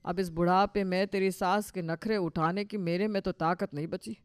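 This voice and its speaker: A man's voice: sits high, fairly even intonation, moderate pace, smooth, no echo, natural voice. An actor playing a weary, worried elderly father-in-law in a cartoon story, complaining in a resigned way. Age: older. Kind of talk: Hindi cartoon story dialogue.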